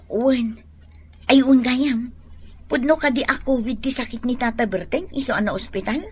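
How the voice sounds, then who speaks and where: An actress playing an excitable village neighbour who exclaims animatedly in a radio drama. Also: female voice, fairly high pitch, measured pace, a little hiss or hum, natural voice. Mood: sheepish. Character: excitable village neighbour woman caught pilfering vegetables. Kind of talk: Filipino radio drama acting.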